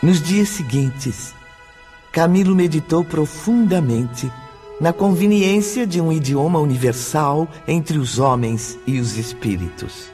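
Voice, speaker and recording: A man narrating a scripted radio drama in a formal, expressive manner. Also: a little high, slow. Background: clear background music.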